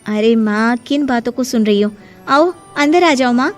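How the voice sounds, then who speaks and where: A high, pointed voice for a defiant young daughter-in-law , acted by a woman. She argues back sharply in animated moral-story style, over faint music. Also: normal speed, lightly acted. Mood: questioning. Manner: argumentative, pointed.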